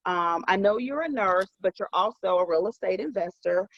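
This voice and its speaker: A woman's voice: medium pitch, lively intonation, moderate pace, no echo. A woman hosting a podcast interview, encouraging her guest with short affirmations. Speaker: podcast host. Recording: dry, dull lo-fi sound.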